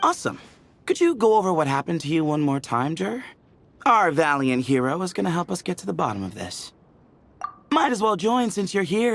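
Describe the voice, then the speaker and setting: An actor playing an easygoing, teasing young man who chats playfully, with a gravelly voice. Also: on the high side, a little hiss or hum. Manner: playful, chatty. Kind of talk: game cutscene voice acting. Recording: studio voice with faint music.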